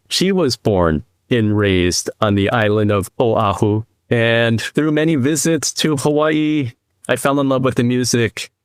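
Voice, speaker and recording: A man in his fifties from the US East Coast, a podcast guest and financial advisor, telling his story in a measured, reflective way. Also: medium pitch, smooth, dry recording, studio quality. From New Jersey, US East Coast. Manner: measured, reflective, speaks in long explanatory runs. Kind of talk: conversational interview storytelling.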